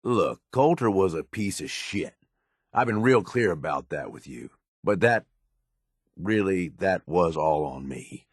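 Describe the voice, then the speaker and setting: A man acting as a middle-aged raider lieutenant in a video game, gruff and blunt, with a world-weary, pragmatic manner and rough slang. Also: low voice, expressive, a little slow, no echo, clean studio sound, heavily acted. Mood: bitter, frank. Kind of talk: video game character dialogue.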